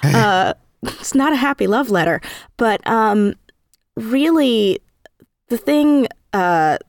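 A woman's voice: a little high, expressive, slow pace, vocal fry, no echo, clean studio sound. A woman speaking casually as an interview guest about creative work, with an emphatic way of talking. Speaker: interview guest, audio drama creator and actor.

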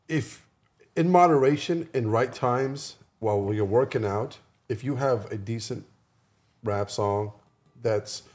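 A man talking casually as a podcast guest, in a halting way, often correcting himself as he goes. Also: medium pitch, a little mellow. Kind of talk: casual conversational podcast discussion.

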